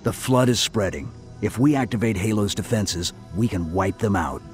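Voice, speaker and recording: A deep-voiced, stoic armored soldier from a video game, acted by a man. He speaks in terse, restrained, commanding phrases over clear background music. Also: animated, moderate pace, natural voice. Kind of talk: scripted video game acting.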